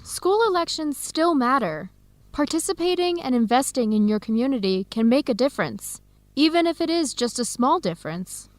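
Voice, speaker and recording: A friendly, earnest young woman researcher in a radio drama. Her voice is high and very expressive, with a chatty, inquisitive manner. The recording is close and dry. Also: normal speed, no room sound. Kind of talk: educational radio drama acting.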